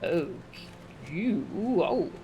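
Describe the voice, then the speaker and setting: A woman's voice: medium pitch, expressive, extremely slow, lo-fi sound, music in the background. A woman giving casual live commentary as she streams a video game.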